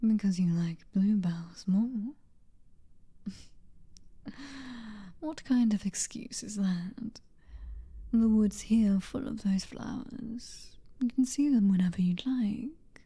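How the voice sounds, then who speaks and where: A woman with a British accent voicing a possessive, centuries-old female vampire noblewoman in a slow, intimate whispered roleplay. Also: mid-range voice, warm tone. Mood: teasing. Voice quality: breathy whisper. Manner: slow, intimate, teasing and controlling. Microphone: close microphone.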